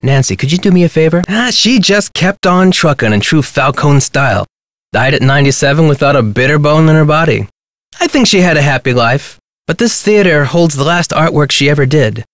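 A fervent political activist from an adventure game. His voice sits fairly high and swoops widely as he wisecracks in counterculture slang. The delivery is theatrical and impassioned, recorded very dry. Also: expressive, a little fast, a bit bright, dry recording. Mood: earnest, eager. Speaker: video game voice actor. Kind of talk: video game character dialogue.

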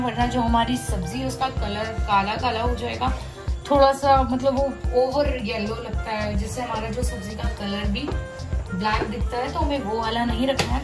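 Woman, home cooking host, slightly high voice, conversational step-by-step explanations, clear music behind. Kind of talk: step-by-step recipe narration.

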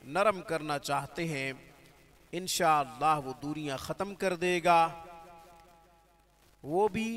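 Slow, devout man. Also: a little high, fairly bright tone. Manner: slow, measured and devout, with Arabic and Urdu religious phrasing. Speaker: spiritual healer teaching prayers. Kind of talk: religious instruction.

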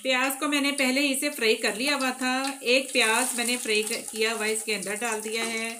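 A woman giving calm, step-by-step cooking instructions in a home kitchen, talking directly to her viewers while she cooks. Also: on the high side, moderate pace, slight background noise. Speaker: cooking channel host. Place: home kitchen, off camera over a pot.

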